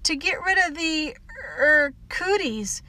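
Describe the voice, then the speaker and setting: Audiobook role: squeamish schoolmistress, done by a woman narrator, prim, high-pitched, politely fussy. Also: expressive, slow pace, sharp tone, exaggerated voice. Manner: proper, fussy, hesitant.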